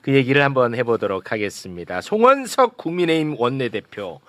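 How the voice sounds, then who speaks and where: A man hosting a casual political talk show, animated and teasing, steering the talk with quick questions. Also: medium pitch, no echo.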